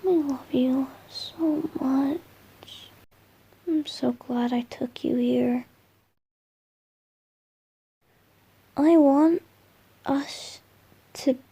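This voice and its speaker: A gentle, boyish young spirit in love, played by a woman, with a high, mellow voice. He murmurs softly and hesitantly, in the intimate style of a romantic ASMR roleplay. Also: heavily acted, low-quality recording. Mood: wistful, devoted. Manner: soft, tender, breathy murmurs with hesitant pauses.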